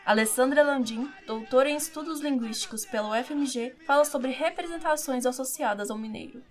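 A woman's voice: high voice, soft background music. Woman narrating with a Minas Gerais accent. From Minas Gerais, Brazil. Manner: drawn-out delivery, clipped word endings. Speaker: reporter narrator. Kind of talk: scripted documentary narration.

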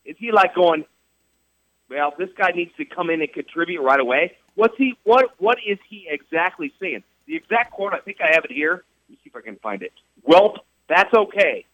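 A man's voice: high voice, very animated, normal speed, dry recording. A man hosting sports radio talk, emphatic and repetitive, firing off rhetorical questions. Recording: dull radio studio sound, dry.

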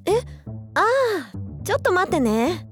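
Female actor as soothing young peacemaker; high, casual, gentle radio drama voice, faint music. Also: big pitch swings, unhurried, full character voice. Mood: friendly.

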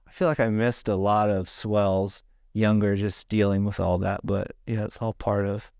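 Middle-aged dude chatting loose, lots of likes and you knows, smooth clear voice, dead dry mic. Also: male voice, on the low side, level tone, normal speed, darkish tone, dry recording. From South Carolina, born in Hawaii. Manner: rambling, lots of "like" and "you know". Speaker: podcast guest, surfboard shaper. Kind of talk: casual conversation.

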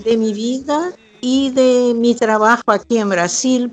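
An older woman from Brazil, a native Portuguese speaker talking in Spanish with a Brazilian accent and a Rioplatense lilt, giving a measured, polite account of her life over a video call. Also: a little high, darkish tone. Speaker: guest artist. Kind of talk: slow, careful personal account. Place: her home, remote link.

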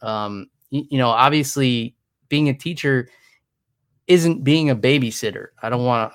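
A man's voice: mid-range voice, fairly bright tone, no echo. A man co-hosting a podcast in easygoing, rambling chat, laughing often. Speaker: podcast co-host.

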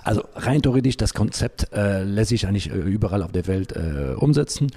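A man giving unscripted interview answers, haltingly, often pausing and searching for his words. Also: on the low side, lively intonation, vocal fry, mellow, dry recording. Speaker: interview guest, environmental group founder.

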